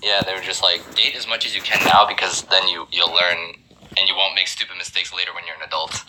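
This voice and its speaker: Fast-talking low-voiced man. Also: slight background noise, rough recording. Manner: animated, quick, lots of fillers. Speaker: animator. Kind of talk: casual storytelling chat.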